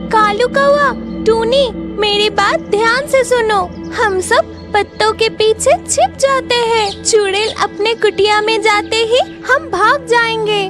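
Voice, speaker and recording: A tiny female cartoon bird with an extremely high, childlike squeak. The bird is eager and sneaky, urging others along. It speaks slowly, with loud children's music behind. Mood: cautious. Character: small chirpy female bird, eager, furtive fruit thief. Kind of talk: Hindi cartoon story character acting.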